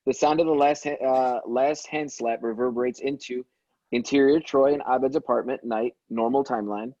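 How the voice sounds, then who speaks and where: A man's voice: mid-range voice, fairly even intonation, moderate pace, warm tone, no room sound, highs cut off. A man reading scripted stage directions as narrator of a table read.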